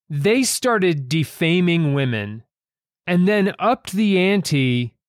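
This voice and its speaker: Slow-paced man with a higher-pitched voice. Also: no echo, clean studio sound. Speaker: author and podcast host. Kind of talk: thoughtful literary discussion.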